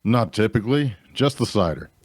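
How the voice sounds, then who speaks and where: An actor playing an easygoing, practical tavern keeper in a fantasy drama read, casual and matter-of-fact. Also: male voice, lowish voice, animated, a little fast, darkish tone, no room sound, clean studio sound. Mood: friendly.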